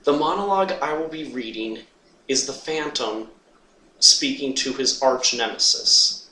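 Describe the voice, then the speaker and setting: A man's voice: mid-range voice, unhurried, sharp tone, slight background noise. Recorded at a distance in an echoey room, a man introduces a monologue he will read. His delivery is plain and informative, like someone presenting a piece before performing it. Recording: roomy echo, distant microphone.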